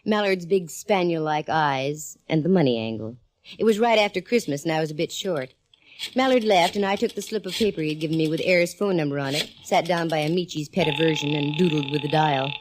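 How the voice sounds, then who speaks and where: An actress playing a sassy, wisecracking young woman private eye in a 1940s radio drama, teasing and quick with comebacks. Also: low voice, close to an everyday voice. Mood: wry. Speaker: private eye lead and narrator. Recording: old radio broadcast with faint hiss.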